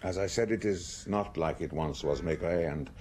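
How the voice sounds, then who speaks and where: An actor in a radio drama playing a fretful, practical country doctor with a gravelly voice. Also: male voice, deep voice, moderate pace, a little mellow, natural voice. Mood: worried. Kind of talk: BBC radio drama acting. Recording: noisy old radio broadcast.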